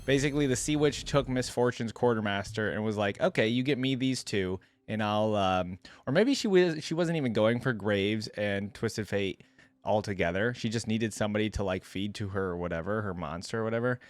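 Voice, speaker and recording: A man's voice: lowish voice, fairly quick, no echo. A young adult man, giving chatty, enthusiastic reaction commentary as a video host.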